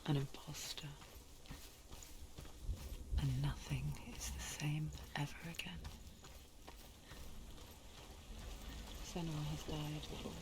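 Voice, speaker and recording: A woman voicing a calm, ominous inner narrator in a game, in a hushed, breathy, intimate and deliberate delivery. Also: low-pitched, background noise. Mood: ominous, knowing. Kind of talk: whispered dramatic game narration. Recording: music under the voice. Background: prominent music.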